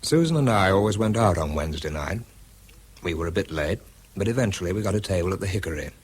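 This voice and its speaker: An actor with a British accent playing a wry, self-deprecating wartime bomb expert in a radio drama, dry and understated. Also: male voice, sits low, animated, quick pace, husky. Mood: reflective. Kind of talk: radio drama narration and dialogue.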